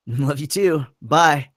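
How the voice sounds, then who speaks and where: Guy playing chill supportive young husband in a drama read, slow talker, lighter higher voice. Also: a bit bright, dry recording, clean studio sound. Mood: affectionate. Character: easygoing supportive husband and father, thirty. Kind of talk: acted reading at a script table read.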